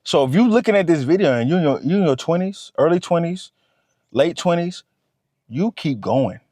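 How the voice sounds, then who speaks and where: Animated man in his thirties, dry close sound. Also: fairly high pitch, moderate pace, no room sound. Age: 30s. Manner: animated, repeats himself, talks straight to the viewer. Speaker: vlogger. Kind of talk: motivational talk to camera.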